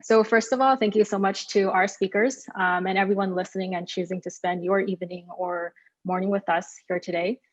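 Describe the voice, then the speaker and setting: A woman's voice: medium pitch, steady tone, brisk. An upbeat woman moderates an online panel in an enthusiastic, friendly tone. Her voice comes through a video call with slightly dull, lo-fi audio.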